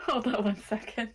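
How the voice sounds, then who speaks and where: A woman's voice: sits high, a bit bright, slight background noise. A woman co-hosting a casual panel discussion, explaining things tentatively with many hedges and "like". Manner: tentative, hedging explanations with frequent "like". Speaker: podcast co-host.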